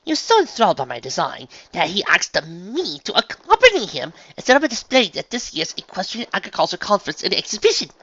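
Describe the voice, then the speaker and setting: A man voicing a refined, excitable female unicorn dressmaker in a story, with prim, effusive, theatrical delivery. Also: sits high, quick pace, few pauses, highs cut off, voice pushed up, full character voice. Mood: apologetic, earnest.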